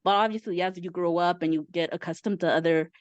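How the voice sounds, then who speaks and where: Mexican woman, 30s, chatty and excited, lots of um and uh, muffled dry zoom audio. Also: on the low side, quick pace, no room sound. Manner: eager, chatty, many fillers. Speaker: caller. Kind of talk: casual chat. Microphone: video call.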